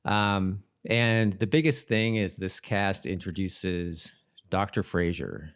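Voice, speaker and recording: A man co-hosting a podcast in casual conversation. His voice sits a little low and comes across smooth and clean, with an informal, chatty commentary style. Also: slow pace. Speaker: podcast host.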